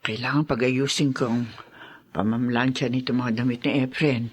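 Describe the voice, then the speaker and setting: A woman's voice: a bass voice, fairly even intonation, moderate pace, breathy edge. An actress playing an anxious older mother-in-law in a radio drama, muttering fretfully to herself and exclaiming. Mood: flustered muttering.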